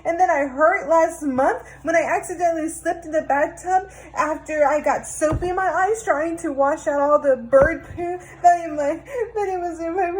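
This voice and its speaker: A woman's voice: very high-pitched, moderate pace, hardly any pauses, pitched above the performer's own voice, rough recording. A woman voicing an upset little boy who rattles off complaints in a breathless, sobbing rush. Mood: tearful.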